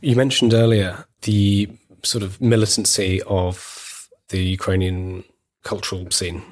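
A man hosting a podcast, asking a guest measured, polite questions. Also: low voice, level tone. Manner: measured, polite, with small listening murmurs. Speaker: podcast host. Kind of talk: interview questions.

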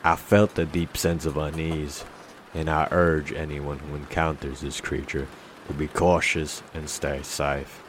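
An actor playing a grave, folksy tavern keeper speaking on a radio show in an audio drama. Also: male voice, a bass voice, flat delivery, measured pace, slight background noise. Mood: uneasy, grave. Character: male tavern keeper calling in an eerie creature sighting.

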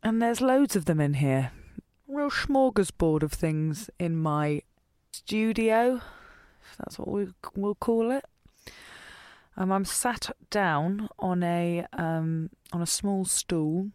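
A woman's voice: lowish voice, animated, slow, darkish tone, clean tone, dry recording, studio quality. A woman rambling casually in a self-deprecating, dryly joking way, a voice actor recording in a small cupboard full of coats. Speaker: voice actor in an audio fiction podcast. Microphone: pop shield, tripod-mounted recorder.

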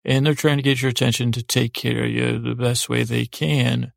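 A man's voice: medium pitch, level tone, moderate pace, dry recording, studio quality. A man hosting a podcast in a rambling, informal bedtime monologue, hesitant and self-deprecating, often stammering and circling back. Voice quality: gravelly, creaky. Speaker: podcast host.

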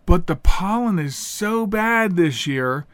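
A high-pitched man hosts a radio talk show by himself, his voice slightly nasal and clogged up from pollen. He rambles casually, trips over his words and corrects himself. The sound is close and dry, with no room echo. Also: lively intonation, slow pace, clear, resonant tone. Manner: rambling, chatty, with self-corrections and filler words. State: a little congested, nasal from pollen. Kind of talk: casual solo radio monologue.

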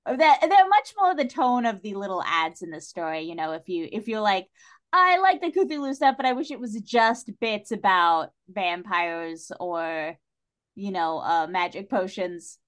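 A woman chatting casually as a podcast guest, with an animated, jokey way of talking. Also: mid-range voice, a little slow. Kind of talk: casual chat.

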